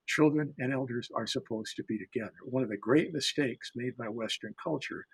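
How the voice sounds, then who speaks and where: Gravelly, husky man telling stories reflectively. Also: medium pitch, low-quality recording. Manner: measured, deliberate, pausing between phrases. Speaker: storyteller panelist. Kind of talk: reflective storytelling in a panel discussion.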